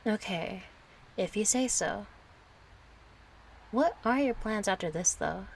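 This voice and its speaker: A woman's voice: on the low side, slight background noise. A woman acting a kind, chatty young friend in an intimate audio roleplay, casual, playful and a little hesitant. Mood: teasing, curious. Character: kind, chatty young female friend, gently yearning for closeness.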